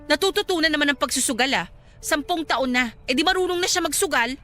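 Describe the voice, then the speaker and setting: A woman's voice: high voice, quick pace, pushed and bright. A woman playing a smug, cold-hearted young wife and scornful rival in a Filipino radio drama, dismissive and taunting, with a Filipino accent. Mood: dismissive, impatient. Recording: dramatic music bed behind the voice.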